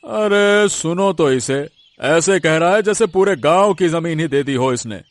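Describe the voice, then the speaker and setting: A man's voice: a little high, animated, unhurried, no echo. A man voicing a sly village land-grabber in a cartoon story, taunting and condescending. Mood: mocking.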